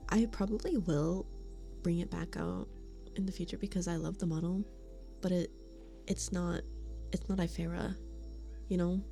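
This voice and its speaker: A woman's voice: fairly low pitch, steady tone, normal speed, gravelly, long pauses, mellow, faint music behind. A woman streamer chatting casually with her live chat, giggling often and sometimes dropping to a whisper. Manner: giggly, laughs often between remarks. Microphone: studio condenser mic.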